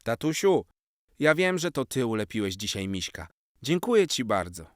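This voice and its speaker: A man voicing an excitable, affectionate seven-year-old boy in a children's story, eager and exclaiming. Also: medium pitch, normal speed, dry recording, clean studio sound, pitched above the performer's own voice, natural voice. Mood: sincere, eager.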